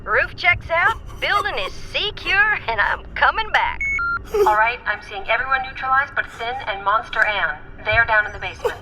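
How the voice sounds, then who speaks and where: A woman playing a chipper military assistant who reports in a brisk, crisp manner in a radio drama. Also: fairly high pitch, lively intonation, lightly acted. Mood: brisk, efficient. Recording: radio drama, effects noise, heard over intercom.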